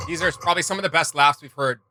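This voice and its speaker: A man's voice: on the high side, fast talker, pushed and bright, no echo. A man hosting a reaction video in casual, slangy, jokey chat.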